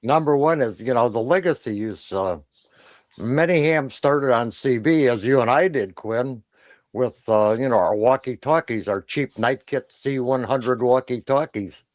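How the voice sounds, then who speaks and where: An older man with an American accent, explaining as co-host in a measured, matter-of-fact way. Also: medium pitch, no room sound. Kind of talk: explaining in conversation.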